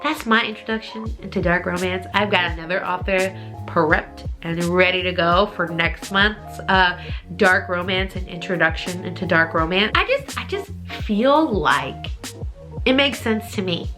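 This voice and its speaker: A woman's voice: fairly low pitch, measured pace, bright, forceful tone, faint music behind. A woman talking casually as a book reviewer on her vlog, informal and gushing, with emphatic repetition. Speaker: book reviewer, channel host.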